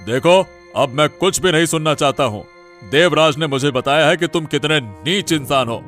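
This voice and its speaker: A man's voice: on the high side, lively intonation, normal speed, a bit bright, faint music behind. A man playing a commanding village headman in a cartoon moral story, curt and authoritative. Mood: stern, angry.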